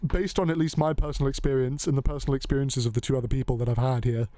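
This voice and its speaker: A male host who rambles along, joking and dropping a curse here and there. His voice sits at an ordinary middle pitch. The sound is dry and close, with no room echo. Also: steady tone, racing, warm tone. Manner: rambling, joking, loose, swears casually. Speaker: podcast host. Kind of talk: casual chat.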